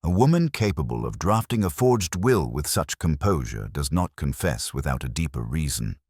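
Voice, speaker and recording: Guy doing a very deep victorian detective, stern n logical, formal, voice moves around a lot, dry close sound. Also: sing-song, a little mellow, husky, no echo, exaggerated voice. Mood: quietly intent. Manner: measured, formal, certain.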